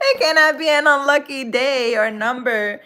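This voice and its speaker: A woman hosts a relaxed live stream, talking to her viewers as if they were friends. Her voice sits a bit high, and she takes her time. She laughs easily and drops Spanish exclamations into her English. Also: a little slow. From Dominican Republic. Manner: chatty, laughs often, drops in Spanish words. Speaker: live-stream host, spiritual healer.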